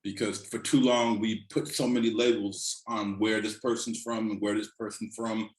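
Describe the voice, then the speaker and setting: Earnest low-voiced man in an echoey room. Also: little pitch movement, normal speed. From California. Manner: earnest, repeats words while searching for them. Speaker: reggae artist guest. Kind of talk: casual interview.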